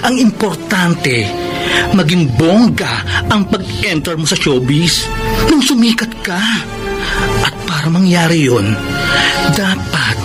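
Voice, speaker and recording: A performer playing a pushy, flamboyant showbiz recruiter in a Filipino radio drama, coaxing with exaggerated delivery. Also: medium pitch.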